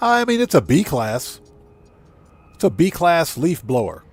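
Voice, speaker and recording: A man's voice: fairly high pitch, wildly swooping pitch, unhurried, husky. A man livestreaming a video game, giving casual commentary and muttering to himself as he plays. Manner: muttering to himself while playing.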